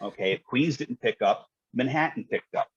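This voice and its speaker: A man's voice: mid-range voice, expressive, normal speed, breathy edge, dry recording, dull audio. A man telling work stories in a conversational podcast style, matter-of-fact, colloquial and salty. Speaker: guest, retired fire dispatcher.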